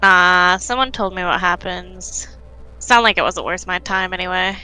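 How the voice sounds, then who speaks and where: Girl voicing a busy aloof dude in a visual novel, short casual answers, bright, faint music. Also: lowish voice, moderate pace, clean tone, poor audio. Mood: dry, offhand. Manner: offhand, curt, casual. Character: busy, aloof, laconic male visual novel love interest.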